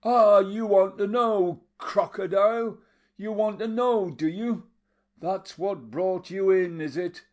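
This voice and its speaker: Man voicing a rough village girl, wild and taunting, raised pitch, loud and pushy delivery, storybook reading. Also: lively intonation, slow pace. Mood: mocking. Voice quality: rough, jeering. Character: coarse half-witted young woman, mocking and wild.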